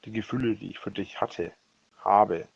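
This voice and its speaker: Low, husky man in a dull voicemail. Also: dry recording. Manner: halting, repeats and corrects himself. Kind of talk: rambling personal voicemail monologue. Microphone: voice message recorded on a phone. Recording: dull lo-fi leaked voicemail recording.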